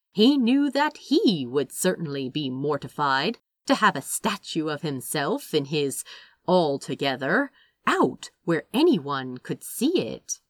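Woman, expressive fiction narrator, animated intonation, unhurried pace, dry recording. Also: mid-range voice, no room sound. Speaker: audiobook-style reader of fan fiction. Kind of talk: expressive scripted story narration.

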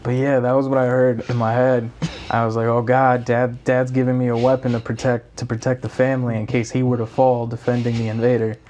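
A man's voice: mid-range voice, little pitch movement, brisk, darkish tone, slight background noise. A young man co-hosting a podcast, chatting casually in a rambling way, with many restarts and slang. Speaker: podcast co-host. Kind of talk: casual chat.

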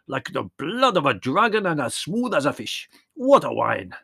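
A man voicing an excitable, hearty old Greek shepherd in a storybook, emphatic and given to repeating himself. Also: a little high, normal speed, creaky, husky, no room sound, clean studio sound, pitched above the performer's own voice, full character voice. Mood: expansive, rueful. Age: older. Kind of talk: storybook character voice.

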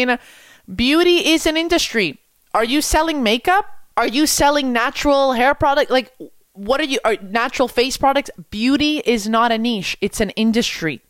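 A young woman from Quebec, a French speaker, coaching about business with energetic rhetorical questions. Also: a little high, no echo. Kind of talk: coaching talk to camera.

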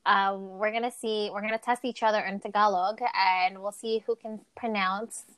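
A woman's voice: mid-range voice, fairly even intonation, normal speed, a bit bright, dry recording. A woman co-hosting a casual chat and running a quiz game, talking in a rambling way with many fillers and restarts, over a remote call. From Canada. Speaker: co-host. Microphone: remote call mic.

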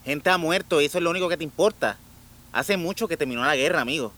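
A man's voice: fairly high pitch, quick pace, fairly bright tone, close to an everyday voice. An actor playing a defensive male foreigner in an audio drama.

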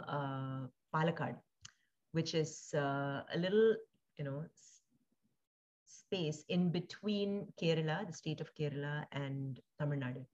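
Woman guest, India-born and Singapore-raised, lowish voice, reflective, halting delivery, dull lo-fi sound. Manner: thoughtful, halting, many fillers and restarts. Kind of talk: reflective conversational interview.

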